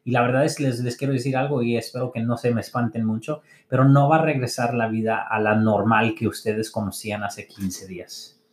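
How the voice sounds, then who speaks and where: A man hosting a motivational podcast, speaking earnestly and encouragingly, often pausing on fillers and restarting words. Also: mid-range voice, steady tone. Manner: halting, frequent "eh" fillers and restarts. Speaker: podcast host. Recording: echoey room.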